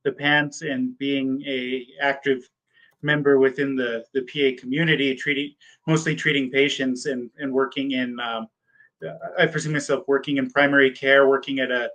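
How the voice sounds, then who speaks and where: A man in his thirties answers mock interview questions as a PA school applicant, with German as his first language. He talks at a middling pitch. He hesitates a lot, repeating words and filling pauses with um. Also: little pitch movement, a bit bright, rough recording. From born in Germany, raised in the United States.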